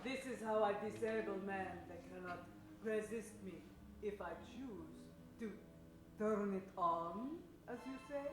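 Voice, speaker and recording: A woman's voice: mid-range voice, measured pace, lightly acted. Woman acting a Russian superheroine, seductive, imperious, theatrical, radio parody, roomy recording. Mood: smug, commanding. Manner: theatrical, grandly commanding. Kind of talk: improvised old-time radio parody acting.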